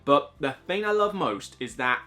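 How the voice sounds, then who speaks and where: A man's voice: on the high side, big pitch swings, moderate pace, sharp tone. A man reviewing board games in a casual, enthusiastic, rambling way, full of fillers and asides.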